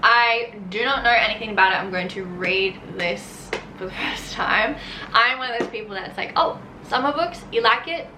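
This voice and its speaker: A woman's voice: on the high side, moderate pace, bright, forceful tone, echoey room, slight background noise, rough recording. An Australian woman talking casually to camera as a book vlogger, bubbly and gushing.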